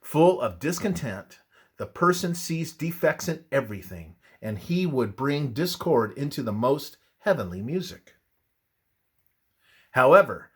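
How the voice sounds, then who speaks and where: Slow, husky man reading devotional narration. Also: medium pitch. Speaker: reader of a religious text. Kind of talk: scripted devotional narration with scripture citations.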